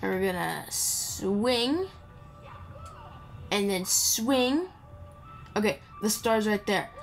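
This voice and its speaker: A woman's voice: medium pitch, animated, takes it slowly, gravelly. Playful, rambling woman gamer over game music. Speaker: gamer playing and commenting. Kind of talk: casual gameplay commentary. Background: video game music.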